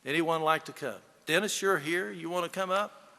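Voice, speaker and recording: A man's voice: a little high, normal speed, creaky, bright, forceful tone. A man leading a service, casually inviting people in a gathering to come up and speak. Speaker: officiant leading a memorial service. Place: large hall. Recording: distant voice in echoey hall.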